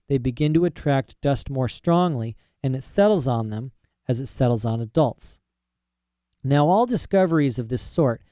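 A man reading a story aloud and voicing a stern, detached nobleman who speaks quietly and evenly. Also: medium pitch, dry recording, clean studio sound, lightly acted. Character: cold, calm, stern aristocratic father.

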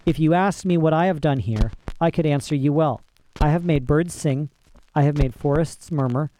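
Old storybook emperor, voiced by a male reader: grave, measured, regretful authority, warm dark timbre. Light background noise. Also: on the high side, close to an everyday voice, low-quality recording. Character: solemn old emperor, regretful yet coldly commanding man.

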